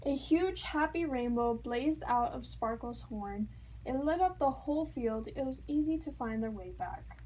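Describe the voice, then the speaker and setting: A woman narrating a children's storybook aloud in an expressive, playful storytelling manner. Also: sits high, unhurried, slight background noise, low-quality recording. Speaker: storybook reader.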